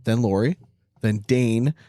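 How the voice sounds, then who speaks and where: A man hosts a podcast in a casual, bantering way. His mid-pitched voice is recorded dry, with very little room sound. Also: a little slow, dark tone, no echo. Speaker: podcast host.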